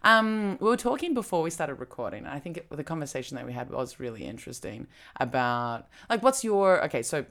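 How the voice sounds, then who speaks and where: Low-voiced woman with a mixed Aussie-Kiwi accent. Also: big pitch swings, a little fast, hardly any pauses, a bit bright. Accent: mixed Australian and New Zealand. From Australia. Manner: chatty, laughs often, acts out quoted people. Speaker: podcast host. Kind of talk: casual chat.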